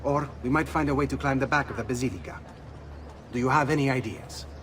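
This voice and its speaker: An actor playing an earnest, idealistic Venetian thief leader with an Italian accent, speaking rhetorically in a video game. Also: male voice, mid-range voice, fast, a bit bright, a little hiss or hum, close to an everyday voice. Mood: passionate. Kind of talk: dramatic video game acting.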